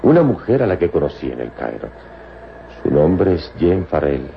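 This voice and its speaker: An extremely deep male voice plays a noble adventure hero of a radio serial. He is calm and commanding, with formal, poetic delivery. The old recording is muffled and noisy. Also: gravelly, heavily acted. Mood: warm, amused. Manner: formal, measured, poetic phrasing.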